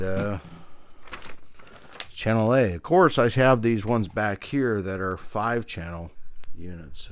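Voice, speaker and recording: A middle-aged man giving a casual, meandering show-and-tell as an enthusiastic hobbyist host. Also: deep voice. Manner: meandering, enthusiastic about old gear. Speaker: video host, radio hobbyist.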